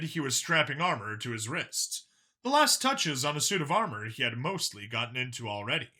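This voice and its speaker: A man's voice: mid-range voice, bright, forceful tone. A man reading scripted fiction as an audiobook narrator.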